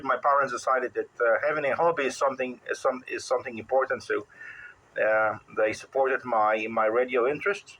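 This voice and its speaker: A man in his forties with a Polish accent, chatting casually as a ham radio operator in a halting way, often repeating words. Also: mid-range voice, bright, forceful tone, slight background noise, rough recording. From Poland. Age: 40s. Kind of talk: casual radio contact chat.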